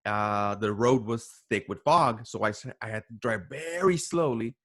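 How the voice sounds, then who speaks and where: A man speaking as a podcast guest, casually telling a story with repeated phrases and halting starts, acting out the quoted dialogue. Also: medium pitch. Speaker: podcast guest, writer and voice actor.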